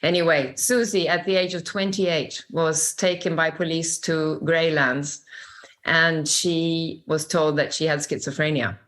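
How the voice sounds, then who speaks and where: Older Swedish-accented woman, low voice, warm reflective storyteller; interview guest. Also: steady tone, unhurried. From Sweden.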